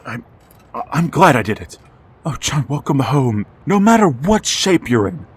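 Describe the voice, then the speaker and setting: A man's voice: medium pitch, big pitch swings, unhurried, breathy edge, heavily acted. A man acting a grieving father who narrates and pleads with his returned son in a radio drama, with a stammering, emotional delivery. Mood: pleading.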